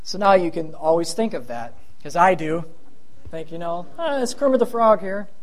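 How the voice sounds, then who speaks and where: A man's voice: high-pitched, lively intonation, normal speed. A middle-aged man with a thin voice giving a sermon as pastor, chatty and self-deprecating with rambling asides.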